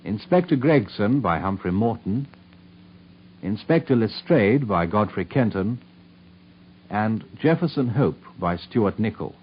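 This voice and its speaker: A man with a British accent reading formal scripted announcements as a radio announcer, his voice husky. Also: lowish voice, expressive, measured pace, a little hiss or hum. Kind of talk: formal scripted broadcast credits. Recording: dull, lo-fi vintage radio recording.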